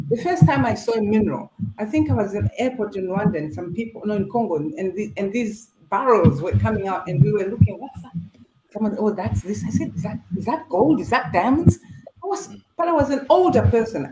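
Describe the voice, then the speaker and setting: A woman's voice: medium pitch, reverberant, background noise. An older woman from Zambia and Zimbabwe moderating a talk show panel, telling stories in a reflective manner. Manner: reflective, storytelling, inviting guests in. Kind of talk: panel discussion.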